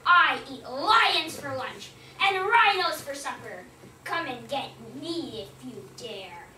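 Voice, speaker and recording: A woman voicing a boastful unseen monster in a cave, shouting a slow chanted rhyme, recorded from a distance in an echoey room. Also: high voice, animated, slight background noise, full character voice. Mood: booming, chanting.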